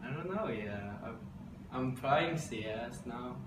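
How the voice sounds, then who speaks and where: Unhurried young Chinese-accented man, echoey and distant. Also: mid-range voice, lively intonation. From China. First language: Mandarin. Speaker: university student interviewee. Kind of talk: casual interview answers. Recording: distant mic, echoey room, clear noise.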